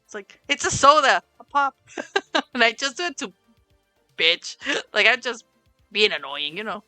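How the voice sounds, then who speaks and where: A woman from Ohio co-hosting a casual podcast, animated and swearing a lot. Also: a little high, measured pace, no echo, soft background music. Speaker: podcast co-host. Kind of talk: casual chat. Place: home streaming setup.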